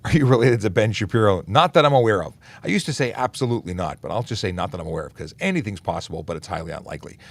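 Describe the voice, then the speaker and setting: Deep-voiced, gravelly police officer. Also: male voice, racing, few pauses, no echo. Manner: relaxed, many "uh" fillers and restarts. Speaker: police officer hosting a traffic Q&A livestream. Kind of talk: casual livestream Q&A, reading and answering viewer questions.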